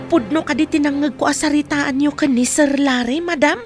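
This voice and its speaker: A woman's voice: sits high, fairly even intonation, brisk, music in the background, lightly acted. A woman playing a respectful, soothing household helper in a radio drama. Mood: curious, respectful. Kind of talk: Ilocano radio drama acting.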